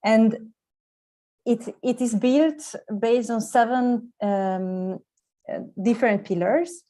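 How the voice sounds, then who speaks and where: A woman giving an unscripted explanation as a panelist, halting and often rewording herself as she goes. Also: a little high, mellow. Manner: halting, self-correcting, tags phrases with "no". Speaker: UN secretariat officer, panelist.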